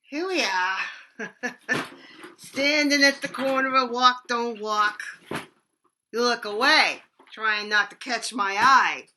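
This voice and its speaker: An actress performing a stage monologue as an eccentric bag lady with a raspy voice, talking loudly and cackling, then dropping to hushed asides. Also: fairly high pitch, slow pace, pauses often, noisy background. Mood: brash. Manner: loud, cackling, swings between shouting and hushed asides.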